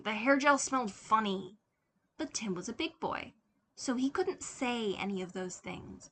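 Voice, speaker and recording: Slow-paced woman narrating a story. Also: medium pitch, a bit bright. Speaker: audiobook narrator. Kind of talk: scripted fan fiction narration. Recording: clear background noise.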